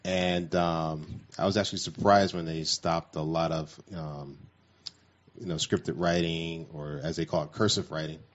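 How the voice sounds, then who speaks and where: A man, a radio producer, talking casually on air in a halting, hesitant way, on slightly dull lo-fi audio. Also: sits low, steady tone.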